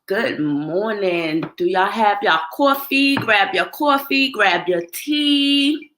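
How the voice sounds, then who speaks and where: Woman host, New York accent, slow and bright, casual upbeat livestream chat. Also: mid-range voice, animated. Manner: drawn-out, upbeat greetings.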